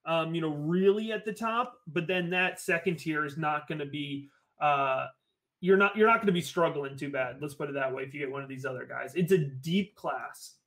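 A man's voice: fairly high pitch, normal speed, fairly bright tone. A man from Connecticut, a podcast guest, talking casually and enthusiastically and often repeating himself as he rambles on. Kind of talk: casual podcast chat about fantasy football.

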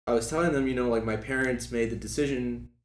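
A man's voice: medium pitch, steady tone, fast. A young man in his early twenties chatting casually as a guest, in a conversational and lightly self-deprecating manner. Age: early 20s. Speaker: guest, former student club officer. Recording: echoey room with faint background noise.

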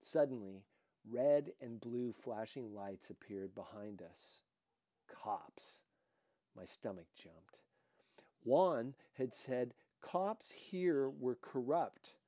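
Slow, mellow man reading a novel. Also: mid-range voice, lively intonation, no echo, clean studio sound. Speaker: audiobook reader. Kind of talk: expressive scripted narration of a novel.

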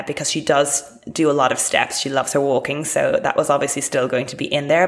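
Low, gravelly woman hosting a podcast. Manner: chatty, encouraging, rises in pitch when addressing guest. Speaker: podcast host and fitness coach. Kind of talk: podcast interview.

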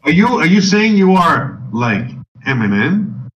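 A man's voice: on the high side, very animated, unhurried, a bit bright, far from the mic, muffled recording. A man co-hosting a sports betting podcast in casual chat, loose and swearing, calling everyone bro. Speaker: podcast co-host. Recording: echoey room, off the mic, faint noise.

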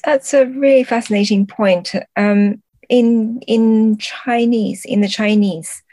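A woman's voice: a little high, fairly even intonation, slow pace, warm tone. A woman answering as a podcast guest in a thoughtful conversational way, often searching for words and correcting herself. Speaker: podcast guest, translator and book editor.

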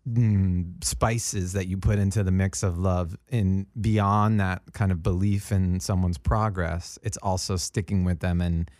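A man hosting a reflective, conversational interview, speaking in a thoughtful, deliberate, affirming way. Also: low-pitched, fairly even intonation, darkish tone, no room sound, studio quality. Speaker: interviewer, host.

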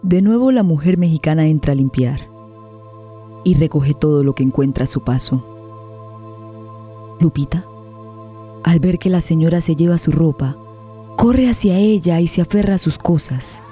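A woman's voice: low voice, moderate pace, long pauses, dark tone, faint music behind. A woman delivering dramatic scripted narration for a radio play.

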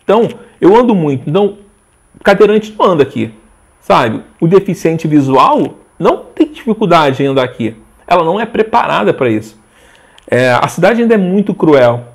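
A man in his forties, a guest in a casual interview, talking in a rambling, animated way with frequent restarts. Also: fairly high pitch, moderate pace, slightly rough. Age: 40s. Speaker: interview guest, social media page owner.

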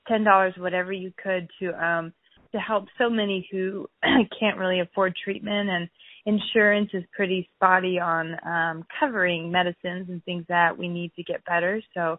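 A hoarse woman chats easily as a podcast guest, laughing often between her points. Her voice comes through a narrow, phone-like line. The sound is dry and close. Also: on the low side, steady tone, moderate pace, no echo. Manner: giggly, says she is losing her voice. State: hoarse, clearing throat. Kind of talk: casual interview chat.

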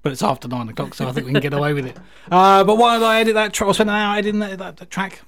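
A man's voice: sits high, very fast, fairly bright tone. A man hosting a radio music show, introducing tracks in a casual, chatty, enthusiastic and rambling way.

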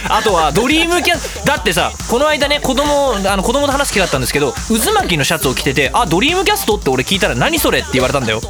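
A man hosts a casual internet radio show in a high, very lively voice. He talks fast and rambles with geeky enthusiasm, dropping into little acted-out quotes and exclamations. Music plays behind him.

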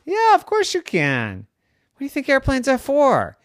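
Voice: sits high, wildly swooping pitch, normal speed, no echo, studio quality, pitched above the performer's own voice. A storyteller voicing a teasing little sister in an improvised children's story, squealing and mocking her brother. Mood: bossy.

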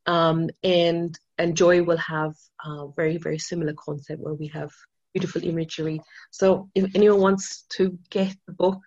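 A woman's voice: lowish voice, little pitch movement, normal speed, a little mellow, muffled recording. A woman from Austria, not a native English speaker, telling an interviewer about her writing with eager, restart-filled talk. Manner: enthusiastic storytelling with many restarts and fillers. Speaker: author, interview guest.

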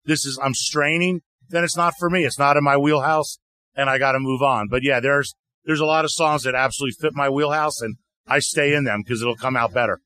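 A man, a singer-songwriter guest, answering interview questions in a relaxed, chatty way full of "you know" fillers. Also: brisk. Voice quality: deeper voice. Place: outdoor music festival.

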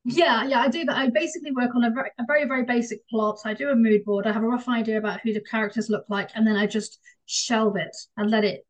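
A woman's voice: on the high side, fairly even intonation, fast, few pauses, poor audio. Enthusiastic woman author rambling. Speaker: co-host and author. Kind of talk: casual interview chat.

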